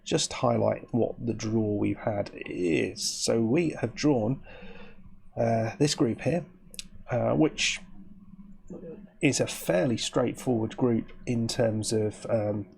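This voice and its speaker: A man, croaky and sniffly with hay fever, giving rambling, chatty commentary on his game as host. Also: mid-range voice, slow pace, darkish tone. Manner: rambling, chatty, with frequent restarts. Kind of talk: casual gameplay commentary.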